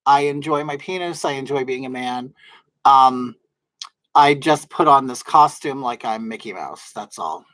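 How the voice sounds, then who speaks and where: A man with a soft, feminine-sounding voice, rambling casually to his livestream viewers with lots of fillers and restarts. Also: medium pitch, unhurried, dry recording. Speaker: livestream host. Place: at home in drag, wig on.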